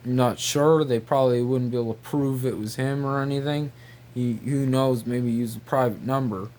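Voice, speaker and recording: A man rambling casually in a halting, repetitive, incredulous way. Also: medium pitch, fairly even intonation, darkish tone, a little hiss or hum. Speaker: video creator.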